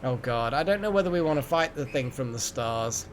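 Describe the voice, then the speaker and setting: A man's voice: mid-range voice, animated, a little fast, background noise. A man hosting a live game stream, chatting casually and rambling as he thinks aloud. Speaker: game livestream host. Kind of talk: casual live gaming chat.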